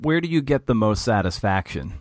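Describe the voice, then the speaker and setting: A man's voice: lowish voice, very animated, brisk, dry recording, studio quality. A male podcast host asking long, hesitant questions in a casual interview, with many "you know" and "like" fillers.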